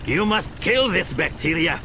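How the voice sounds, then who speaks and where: A man's voice: on the high side, big pitch swings, a little slow, gravelly, background noise, exaggerated voice. A man playing a suave, calculating crime boss in a video game cutscene, speaking in a formal, politely menacing way. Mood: quiet menace. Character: suave, calculating male criminal boss giving missions. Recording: music behind the voice.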